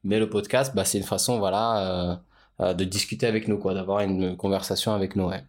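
A man co-hosting a podcast about his brand, explaining things in a calm way with frequent fillers. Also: deep voice, brisk. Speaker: podcast co-host, brand founder. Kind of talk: casual conversational podcast.

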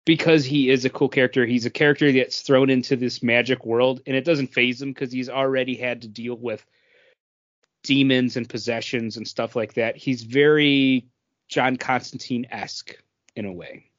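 A man's voice: mid-range voice, steady tone, normal speed, no echo, lo-fi sound. A man co-hosting a podcast, speaking casually in informal conversation. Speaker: podcast co-host. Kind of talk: casual chat.

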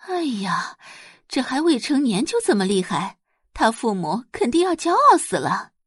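A woman's voice: on the high side, very animated, normal speed, a bit bright, breathy edge, dry recording, studio quality, full character voice. A woman voicing a curious middle-aged wife and mother who chats in exclamations and questions.

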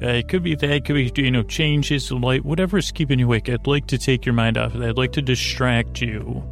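A man with a creaky voice hosting a podcast in a soothing, long-winded bedtime monologue that meanders and interrupts itself. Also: medium pitch, fairly even intonation, fairly quick, soft background music. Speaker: podcast host.

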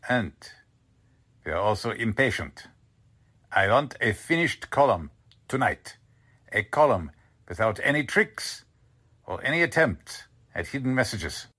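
A man's voice: mid-range voice, sing-song, unhurried, long pauses, pushed and bright, breathy edge, exaggerated voice. A man playing a smugly polite, condescending Nazi commander in a 1940s-style radio drama, speaking formally. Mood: cold, impatient.

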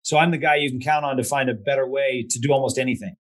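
A man's voice: medium pitch, steady tone, fast talker. A man hosting a conversational interview, thoughtful and affirming, often answering with short acknowledgements. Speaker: host.

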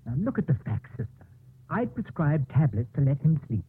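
An actor playing a weary, well-meaning hospital doctor, earnest and explanatory, in 1950s radio drama style. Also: male voice, on the high side, very animated, brisk, slightly rough, exaggerated voice. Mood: earnest, insistent. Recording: tinny old radio broadcast.

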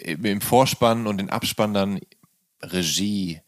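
A man's voice: fairly low pitch, takes it slowly, dry recording, clean studio sound. A man chatting casually as an interviewer, speaking colloquially and chiming in with short agreeing replies. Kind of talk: casual chat.

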